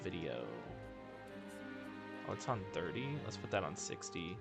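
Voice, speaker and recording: A man's voice: low voice, lively intonation, measured pace, gravelly. A man livestreaming a video game in casual, slangy, joking and self-deprecating chat. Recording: game music behind the voice. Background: video game music.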